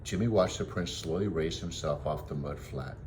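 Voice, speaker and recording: A man, a teacher, reading a children's storybook aloud in an expressive way. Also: rumbling low, moderate pace, noisy background.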